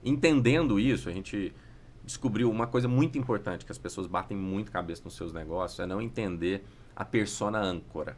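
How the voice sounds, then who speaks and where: Fast-talking Brazilian man, lower voice. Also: a little hiss or hum. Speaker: podcast guest, startup entrepreneur. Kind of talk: business podcast conversation, explanatory.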